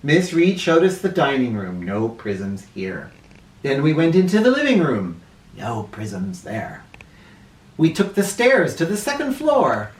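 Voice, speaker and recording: A man reads a children's storybook aloud at an unhurried pace with lively, swinging intonation. He sounds a bit away from the mic in a very echoey room. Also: on the high side, distant mic. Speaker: children's read-aloud host. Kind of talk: animated storybook narration for children.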